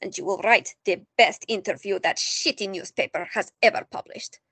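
An actress playing an elderly woman in her nineties, a proud former resistance fighter, speaking firmly and warmly. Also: medium pitch, expressive, normal speed, gravelly, breathy edge, dry recording, heavily acted. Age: older. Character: elderly woman, war veteran, proud and firm. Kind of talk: audio drama acting.

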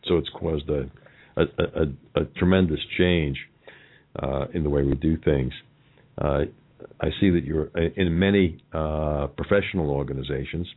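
A middle-aged or older man with a New York accent, hosting a conversational business interview in a halting, reflective way. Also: rumbling low, husky. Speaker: business talk show host. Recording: muffled, band-limited lo-fi audio.

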